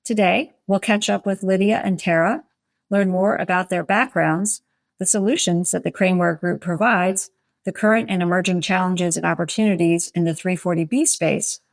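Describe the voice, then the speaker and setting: A woman's voice: on the low side, dry recording. A woman hosting a podcast, giving polished, articulate scripted introductions and interview questions. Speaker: podcast host.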